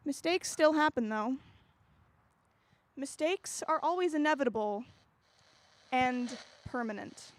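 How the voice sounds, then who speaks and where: A woman performs the storyteller of a science fiction radio anthology. The voice is distinctly high, with a wry, playful scripted delivery aimed right at the listener. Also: lively intonation, slow, long pauses, a little hiss or hum. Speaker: narrator introducing an anthology play. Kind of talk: scripted radio drama narration.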